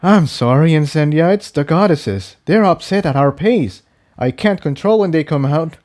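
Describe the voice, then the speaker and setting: An exhausted male unicorn from a fantasy storybook, acted out by a man reading aloud. The voice sits above a plain male register and is mellow, with a weary, strained manner that sounds haunted and apologetic. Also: on the high side, lively intonation, normal speed, pitched above the performer's own voice, close to an everyday voice. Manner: weary, strained, sometimes sardonic.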